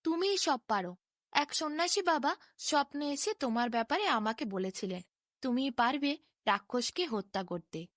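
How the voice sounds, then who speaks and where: A woman's voice: sits high, animated, moderate pace, a bit bright, dry recording, clean studio sound. A woman voicing an earnest, pleading king in a storybook fairy tale, solemn and imploring. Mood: urging.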